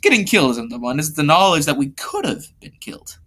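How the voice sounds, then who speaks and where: Reader as an eager, reckless schoolboy inventor, bright-voiced. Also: medium pitch, big pitch swings, fast talker, breathy edge, heavily acted. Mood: gleefully reckless.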